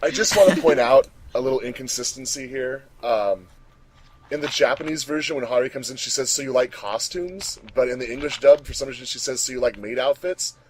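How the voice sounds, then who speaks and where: A man's voice: medium pitch, fairly quick, darkish tone, poor audio. A man chatting casually as he gives commentary along with a group.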